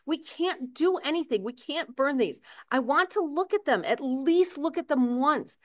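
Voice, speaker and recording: A woman's voice: high voice, expressive, unhurried, pitched above the performer's own voice. A woman voicing a desperate, agitated husband in a novel reading, speaking with urgent, earnest pleading.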